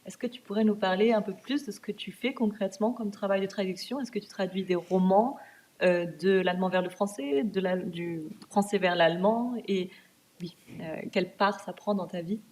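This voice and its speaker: A woman's voice: mid-range voice, moderate pace, a little mellow, slight background noise. A woman student asking a guest author questions in a polite, searching way. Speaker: student interviewer. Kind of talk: asking questions at a book talk.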